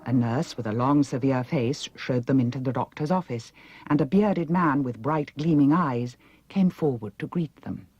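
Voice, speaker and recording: A woman with a British accent reading a children's story as an expressive audiobook narrator. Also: a bass voice, normal speed, breathy edge, dry recording, muffled recording. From United Kingdom.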